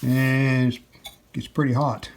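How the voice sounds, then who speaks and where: A man casually narrating a hands-on how-to as he works, plain-spoken, unhurried and modest. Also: mid-range voice. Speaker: restoration hobbyist and video host. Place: home basement workshop. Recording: steady background noise, handling rustle.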